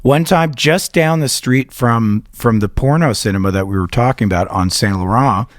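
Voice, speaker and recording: A man's voice: medium pitch, moderate pace, no echo, clean studio sound. A middle-aged man from Canada co-hosting a podcast, rambling through a story with swearing and laughter. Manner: rambling, profane, laughs through his stories. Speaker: podcast co-host. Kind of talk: casual chat and storytelling.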